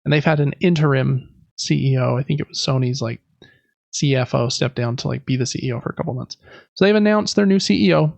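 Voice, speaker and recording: A man's voice: medium pitch, quick pace, vocal fry, a little mellow, dry recording. A man hosting a podcast, casually recapping news in a conversational way with explanatory asides. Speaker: podcast host.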